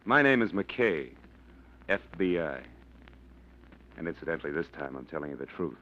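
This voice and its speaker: An actor playing a blunt, hard-bitten FBI agent with clipped, commanding lines, in 1940s radio acting style. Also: male voice, extremely low pitch, very animated, normal speed, heavy background noise. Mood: cold. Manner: clipped, commanding, tough-guy talk. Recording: dull lo-fi old radio broadcast.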